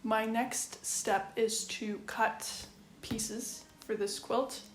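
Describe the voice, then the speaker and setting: Woman, fairly high voice, chatty and wry, echoey kitchen. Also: steady tone, drawn-out words, heavy background noise. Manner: chatty, wry, matter-of-fact. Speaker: quilting vlogger. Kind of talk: casual chatty tutorial. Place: home kitchen. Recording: echoey room with faint ambience.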